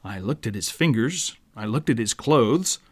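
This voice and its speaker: A man narrating a rhyming children's story as its author, in a dramatic, deliberate storyteller's manner. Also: medium pitch, animated. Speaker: author reading own book.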